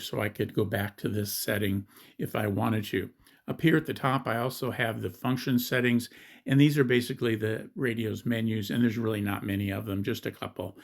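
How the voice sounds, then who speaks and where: Man with a creaky voice, demonstrating gadgets. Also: lowish voice. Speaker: gadget reviewer. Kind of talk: step-by-step app demonstration and product review.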